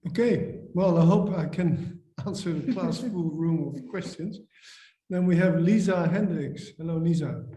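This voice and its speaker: A man who founded the company, briskly moderating a video-call Q&A and calling on participants by name. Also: fairly high pitch, dark tone. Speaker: company founder hosting a webinar. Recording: echoey room.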